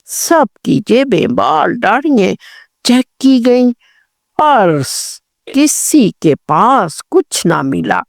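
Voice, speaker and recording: Female children's storyteller: higher voice, lively swings in pitch, expressive scripted reading, dry close sound. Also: very slow, no echo. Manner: expressive, addressing children directly.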